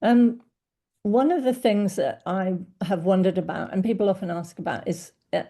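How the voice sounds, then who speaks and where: A woman's voice: mid-range voice, normal speed, vocal fry, dark tone. A middle-aged woman, a doctor hosting a podcast, asking questions and giving attentive backchannels. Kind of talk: podcast interview.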